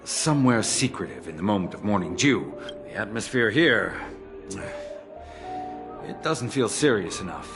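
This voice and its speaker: An actor playing a deferential male subordinate in a studio game, flattering and hesitant. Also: fairly low pitch, moderate pace. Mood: musing. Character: deferential male subordinate, nervous, eager to please. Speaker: game character. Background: game music.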